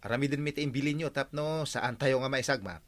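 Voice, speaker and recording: A man with an Ilocano accent acting an earnest, excitable village captain in a radio comedy-drama, often starting on hesitant interjections. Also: mid-range voice, fast talker, natural voice. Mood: brisk, resolute.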